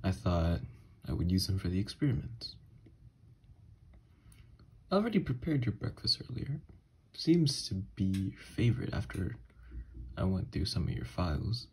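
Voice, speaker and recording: A man voicing an obsessed, unhinged kidnapper scientist in an ASMR horror roleplay, soft and breathy, coaxing gently with quiet menace. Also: low voice, very animated, moderate pace, long pauses, full character voice. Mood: eerily calm. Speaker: ASMR audio roleplay voice actor. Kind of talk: ASMR horror roleplay monologue, partly whispered.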